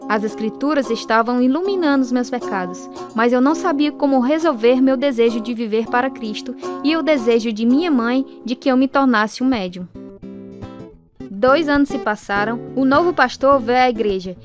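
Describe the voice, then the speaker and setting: A woman's voice: fairly high pitch, normal speed, music in the background, close to an everyday voice. A woman playing a troubled, earnest young woman who narrates her story in a radio drama. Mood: troubled, reflective.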